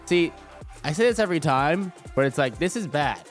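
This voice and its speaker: A man's voice: on the high side, lively intonation, moderate pace. Man streamer, congested, swearing, rambling casual chat, faint music behind. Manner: profane, joking, rambling. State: congested, slightly stuffy. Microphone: desk microphone.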